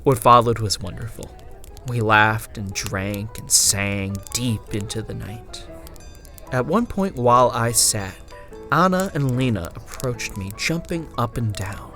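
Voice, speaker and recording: A man performing a frontier gunslinger's first-person horror narration, in a hushed, deliberate delivery with drawn-out phrases and swelling emphasis. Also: fairly low pitch, animated. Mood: wistful, measured. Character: woman frontier gunslinger recounting her haunted, lost memories. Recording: music bed under the narration. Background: music.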